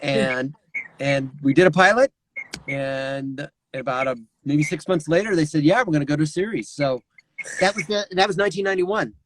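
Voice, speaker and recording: A middle-aged man, a voice actor, telling lively anecdotes over a remote call and slipping into character voices. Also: on the high side, normal speed, slight background noise, rough recording. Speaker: voice actor guest. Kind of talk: animated anecdotal storytelling.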